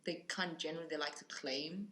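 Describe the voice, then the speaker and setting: Chatty low-voiced woman from South Africa. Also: pushed and bright, reverberant, a little hiss or hum. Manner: quick, chatty, acts out what others said. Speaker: podcast guest. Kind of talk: casual chat.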